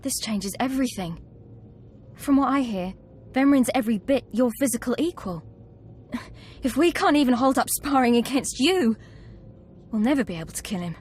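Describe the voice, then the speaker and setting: Female actor playing young scheming game acolyte, slightly high voice, coaxing, confident, wry, faint background ambience. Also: lively intonation, moderate pace, pauses often, darkish tone, a little hiss or hum, natural voice. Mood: wry, sobered. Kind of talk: video game cutscene acting.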